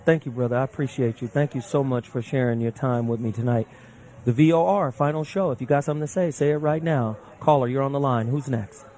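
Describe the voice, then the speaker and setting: A man hosting a radio call-in show, taking callers in relaxed casual talk and thanking each one. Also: mid-range voice. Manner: laid-back, warm thanks to callers, quick laughs.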